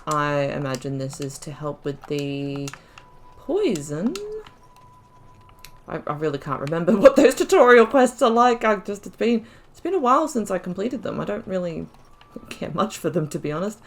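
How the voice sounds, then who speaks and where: A woman's voice: on the low side, expressive, moderate pace, vocal fry, a little hiss or hum. A woman giving casual let's play commentary, chatty and musing, thinking aloud as she plays. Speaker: gaming YouTuber playing through a role-playing game.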